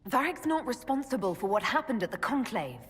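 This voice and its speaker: Composed leader in reverberant hall. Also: female voice, fairly high pitch, very animated, fairly quick, husky, soft background music. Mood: firm. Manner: composed, reasonable, questioning. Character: calm, diplomatic woman leader of a fantasy order. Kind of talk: video game dialogue acting. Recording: long reverb, faint background noise.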